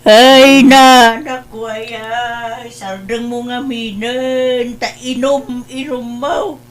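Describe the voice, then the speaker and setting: Casting: actress as fretful, exasperated Ilocano mother for comedy radio drama; high, unhurried, wailing delivery. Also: level tone, slight background noise. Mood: scolding, worried. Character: exasperated, fussing Ilocano mother of a drinking son.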